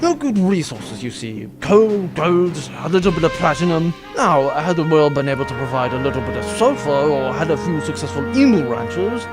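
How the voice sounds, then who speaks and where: Guy doing a dithery pompous duke for a comedy space audio drama, high and very singsong, music behind. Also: big pitch swings, moderate pace, few pauses. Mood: blustering. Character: blustering, absent-minded, pompous aristocratic duke. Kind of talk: comic sci-fi audio drama.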